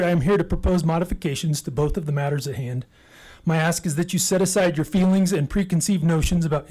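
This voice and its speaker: An older man in his sixties reads his prepared remarks to the council with calm persistence. He leans on facts and keeps an even, persuasive line, and his voice sits slightly higher than most men's. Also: low-quality recording. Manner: measured, persuasive, data-driven appeal. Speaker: public commenter. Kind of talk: reading a prepared public comment.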